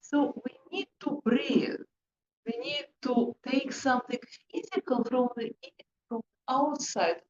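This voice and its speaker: A woman speaking English as a second language, presenting to a group in short, deliberate, emphatic phrases with rhetorical questions. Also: sits high, lively intonation. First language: not English. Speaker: presenter, hypnotherapist. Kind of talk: interactive talk to a group. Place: room with an audience, indoors. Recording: echoey, muffled room recording with background noise.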